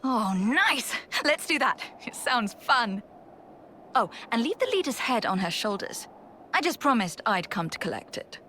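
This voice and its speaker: Jaunty lady commander, high bright voice, teasing and theatrical, loves a fight, video game. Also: sing-song, a little slow, a little hiss or hum, full character voice. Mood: breezy, eager. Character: playful, battle-hungry female commander with theatrical flair. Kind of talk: video game cutscene acting.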